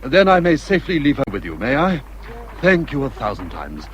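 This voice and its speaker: Bustling, animated period gentleman. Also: medium pitch, brisk, slight background noise. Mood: hearty, brisk. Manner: hearty, chatty, well-meaning. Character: jovial, bustling, good-natured gentleman husband. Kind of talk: period literary radio drama.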